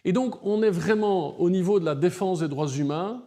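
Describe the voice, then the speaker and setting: Mellow-voiced man, earnest and emphatic. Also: high-pitched, moderate pace. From Belgium. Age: middle-aged. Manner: articulate, emphatic, builds argument in lists. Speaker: human rights lawyer, interview guest. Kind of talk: earnest interview answers.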